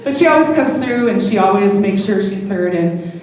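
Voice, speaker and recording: A woman's voice: medium pitch, animated, normal speed, a little mellow. A woman, likely middle-aged, giving an informal, affectionate thank-you speech as a school drama director. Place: large indoor hall at a live event. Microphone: distant event microphone. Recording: very echoey hall from far off, faint noise.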